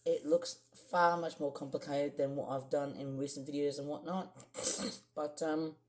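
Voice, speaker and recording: Young man, croaky voice, rambling vlogger. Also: fairly high pitch, level tone, measured pace. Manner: rambling, many fillers and restarts. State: croaky, clearing throat. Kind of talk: casual screen-share vlog.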